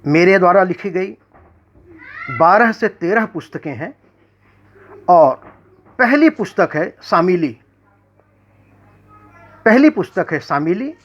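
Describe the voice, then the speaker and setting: A man recites poetry in an unhurried, declamatory way and often repeats a line before moving on. His formal manner fits a poet addressing an audience. The recording sounds dull and lo-fi, with the highs rolled off. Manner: measured, declamatory, repeats verse lines. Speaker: poet and magazine editor.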